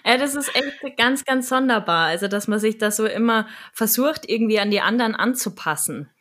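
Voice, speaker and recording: A woman's voice: fairly high pitch, a little fast. Laughing woman with a Bavarian accent. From Munich, Germany. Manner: lively, laughs often while telling stories. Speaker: radio-trained voice speaker. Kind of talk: casual conversation.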